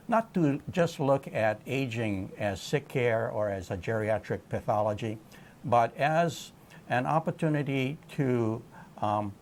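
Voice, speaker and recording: A man hosting a conversational television interview, phrasing his questions in a halting, tentative way. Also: medium pitch, slight background noise. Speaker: television program host.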